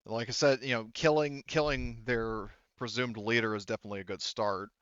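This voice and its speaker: A man acting as game master, casually explaining game lore in a halting way with many restarts. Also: mid-range voice, fairly even intonation, a bit bright, clean tone, no echo. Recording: slightly dull, dry close mic.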